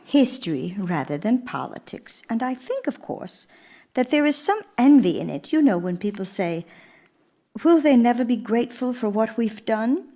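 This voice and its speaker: A woman performs an elderly, genteel former First Lady in a theatrical radio monologue. She is gracious and reflective, shaping her phrases deliberately. Her pitch moves widely and expressively as she tells her story. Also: sing-song, mellow. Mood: gracious, earnest. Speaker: stage actress.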